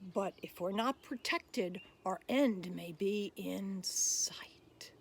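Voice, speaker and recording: A woman voicing a cute, gentle, worried sea otter in singsong picture-book rhyme. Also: mid-range voice, takes it slowly, creaky, slight background noise. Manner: singsong rhyming delivery. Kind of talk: rhyming picture-book read-aloud.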